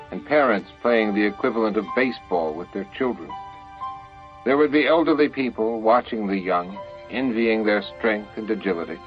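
A man's voice: on the low side, highs cut off. A man reading scripted fiction as an audiobook narrator. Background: music and sound effects.

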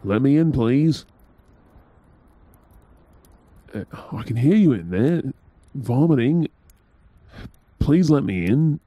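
An Australian man playing an affectionate, playful and caring husband in an intimate ASMR roleplay, soft-spoken and teasing. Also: medium pitch, expressive, measured pace, no room sound, lo-fi sound. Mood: worried, tender.

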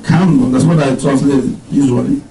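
A middle-aged man giving an interactive religious lesson, quizzing his class on terms, explaining them slowly and reciting Arabic verses. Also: medium pitch, steady tone, dark tone, reverberant, far from the mic, a little hiss or hum, rough recording. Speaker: religious teacher. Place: indoor classroom with whiteboard.

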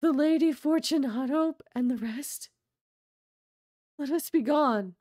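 A woman voicing a chained nobleman who laughs hysterically and begs, her voice raised high. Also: slow, mellow, no echo, studio quality. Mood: laughing hysterically.

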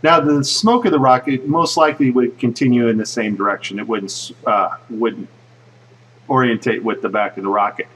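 A man giving casual, speculative commentary over footage in a conversational way, with a slightly husky voice. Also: mid-range voice, fairly even intonation, normal speed, a bit bright, recorded from a distance, a little hiss or hum, poor audio. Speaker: video narrator.

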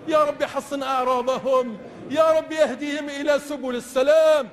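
High-pitched imploring man in long reverb. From Tunisia. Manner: impassioned, pleading, repeating phrases. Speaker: imam leading congregational supplication. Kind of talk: Islamic supplication prayer in classical Arabic, chanted oratory. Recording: long echoing reverb of large space.

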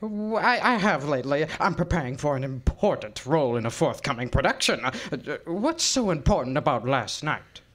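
Theatrical, swooping, nervous stage actor. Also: male voice, on the high side, big pitch swings, moderate pace, fairly bright tone. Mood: flustered, defensive. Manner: florid, stammering, flustered. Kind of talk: radio detective drama acting.

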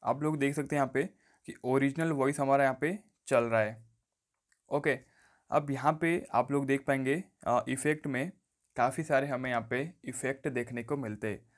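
A man's voice: mid-range voice, steady tone, normal speed. Man giving a step-by-step phone tutorial. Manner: instructional, often repeats stock phrases. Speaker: tech tutorial presenter. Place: voice-over on a phone screen recording.

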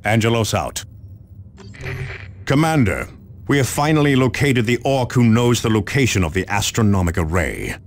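A deep-voiced military commander from a sci-fi game, solemn and stern. He briefs with measured authority and strongly varied intonation, over faint background noise. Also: sing-song. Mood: grim resolve. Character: stern, commanding male space marine officer. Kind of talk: video game dramatic briefing.

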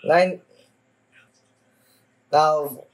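A young adult man giving casual reaction commentary in a halting, hesitant way, often repeating himself. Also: fairly high pitch, fairly even intonation. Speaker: anime reaction video host. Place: small room at home, indoors.

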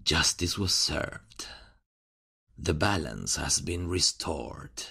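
Very deep gravelly guy, slow teasing menace, vigilante killer played by a man. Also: expressive, bright, forceful tone. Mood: mocking. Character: calm, mocking vigilante killer who hides in the closet. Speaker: ASMR roleplay creator. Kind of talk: ASMR horror roleplay.

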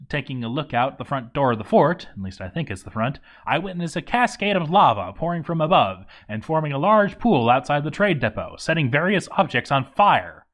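A man giving an expressive scripted reading of a game story, with wry, deadpan asides. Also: mid-range voice. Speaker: let's-read narrator.

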